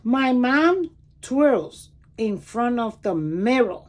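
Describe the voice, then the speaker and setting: Lady teacher doing a kids read aloud, slow n expressive, stops to explain stuff. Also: on the high side. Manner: expressive, deliberate, pausing to point out words and pictures. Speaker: teacher reading picture books aloud for children. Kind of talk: animated children's read-aloud with commentary asides.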